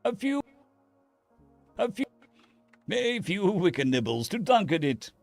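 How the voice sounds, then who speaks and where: A man's voice: high voice, sing-song, a little slow, vocal fry, no room sound, soft background music, pitched above the performer's own voice, full character voice. A man voicing a jovial, pompous space hamster diplomat in a storybook, with a posh upper-class British accent and flustered, repetitive speech. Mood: delighted. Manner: flustered, effusive, repeats himself.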